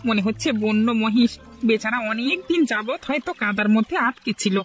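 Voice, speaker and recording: Man voicing a high-pitched, earnest village husband. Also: lively intonation, brisk, fairly bright tone, faint music behind. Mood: compassionate. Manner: earnest, protesting. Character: kind, stubborn poor village husband who loves his buffalo. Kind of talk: Bengali cartoon story dialogue.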